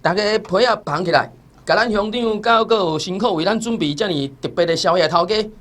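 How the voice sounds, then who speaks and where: An actor playing a seasoned foundation director in a radio drama, rallying people diplomatically with a Taiwanese accent. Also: male voice, sits high, fairly quick, fairly bright tone. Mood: rallying, gracious. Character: seasoned, smooth-talking male foundation executive director.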